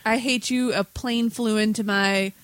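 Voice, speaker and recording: A woman's voice: a little high, fairly even intonation, unhurried, a bit bright, a little hiss or hum. A woman co-hosting a talk show, going through news stories in an informal, wry, chatty way. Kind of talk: casual chat about news stories.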